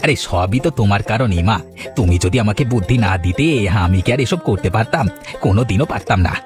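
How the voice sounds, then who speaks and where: A man acts a greedy village villain in a cartoon fable. His voice sits a little low, smug and boastful, with music underneath. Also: lively intonation, fast talker, close to an everyday voice. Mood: gloating, smug. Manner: boastful, fawning on his mother. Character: scheming, greedy, gloating grown son and swindler. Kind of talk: dramatized Bengali moral-story cartoon dub.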